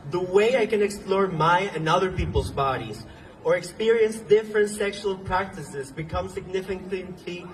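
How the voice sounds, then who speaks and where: Young male rally speaker, earnest, a bit halting, slightly high voice, phone-recorded from afar outdoors. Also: far from the mic, rough recording. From Venezuela. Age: young adult. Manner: earnest, halting at times, with ums and restarts. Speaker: speaker at a protest march. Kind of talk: impassioned rally speech. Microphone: phone recording from the crowd.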